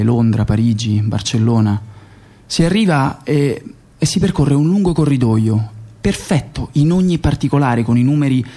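An actor as a male covert operative narrates a radio drama monologue. His voice is dark and relaxed, mid-pitched, and he sets out each detail of the mission with measured precision. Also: close to an everyday voice. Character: male secret agent recounting his training and assassination mission.

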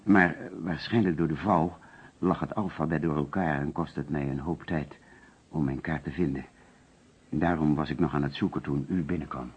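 Very husky, extremely deep suspect. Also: male voice, fairly even intonation, normal speed, exaggerated voice. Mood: uneasy, defensive. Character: anxious male arrested suspect, pleading excuses. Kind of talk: Dutch radio play acting. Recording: dull lo-fi old radio recording.

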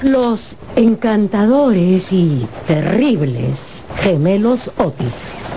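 A woman narrating a scripted radio drama as an expressive, theatrical storyteller. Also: mid-range voice, drawn-out words, slight background noise.

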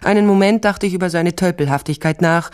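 An actress playing a determined, wry private investigator, narrating a crime drama in the first person, dry and matter-of-fact. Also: female voice, lowish voice, fast talker, a little mellow, clean tone, natural voice. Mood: sober, dry. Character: resolute, wry female private investigator narrating her case.

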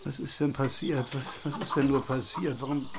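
A man's voice: mid-range voice, fairly even intonation, moderate pace, a crowd in the background, natural voice. An actor playing a weary, dazed city man in an audio drama, faltering and subdued, full of despair. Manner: faltering, subdued, repeating himself.

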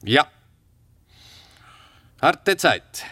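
Taciturn ex-soldier, radio play, slow, terse, evasive, guarded, very dry sound. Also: male voice, on the high side, breathy edge, dry recording, exaggerated voice. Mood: terse, resigned. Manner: terse, flat one-word answers, evasive. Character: taciturn, guarded former soldier under questioning. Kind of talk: radio drama dialogue.

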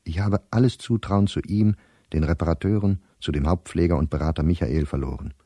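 A middle-aged professor worn down and bitter, reading his diary in a radio play. His voice is very low and mellow, set close and dry. He speaks in exact, clipped entries. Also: a little fast, no echo. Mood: exasperated. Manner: precise, clipped telegraphic diary phrasing.

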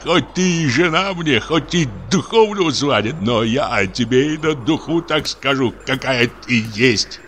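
A man voicing a surly, superstitious village sexton who grumbles and mutters gruffly. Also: mid-range voice, big pitch swings, slow, a bit bright, a little hiss or hum, pitched above the performer's own voice, heavily acted. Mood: accusing. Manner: accusing, grumbling.

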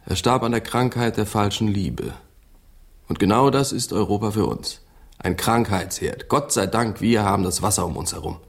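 An actor playing a cynical English physician of centuries past in a German radio drama, delivering dry, mocking pronouncements. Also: male voice, on the low side, normal speed, vocal fry. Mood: sardonic. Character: cynical, sardonic English physician of Shakespeare's day.

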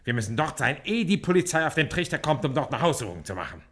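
A man acting as a gruff gang boss who mulls things over and gives orders, in an old German radio satire. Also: a little high, very animated, fast. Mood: commanding.

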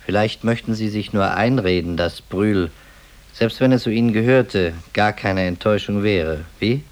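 An actor playing a skeptical, probing police detective in a German radio play, speaking in a measured, dry way. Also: male voice, lowish voice, natural voice. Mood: dry, ironic. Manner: measured, deliberate, dryly probing.

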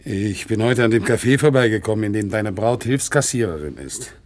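An actor playing a proud, dutiful one-legged war veteran who works as an organ grinder, speaking in a solemn, deferential way in a radio play. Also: male voice, lowish voice, expressive, a little fast, no room sound, lightly acted. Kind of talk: 1960s radio play acting. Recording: faint music behind, older radio play recording.

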